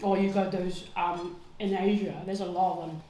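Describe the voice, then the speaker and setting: A woman's voice: lowish voice, flat delivery, normal speed, warm tone, roomy sound, a little hiss or hum, poor audio. A woman in her thirties from Hong Kong, a podcast guest chatting bluntly at home, swearing freely and laughing often. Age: 30s. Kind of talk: casual chat.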